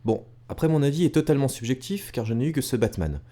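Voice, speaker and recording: A man's voice: mid-range voice, animated, fast talker, warm tone. A man narrating a retro gaming video from a script, enthusiastic and speaking directly to viewers with casual asides. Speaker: video creator and host.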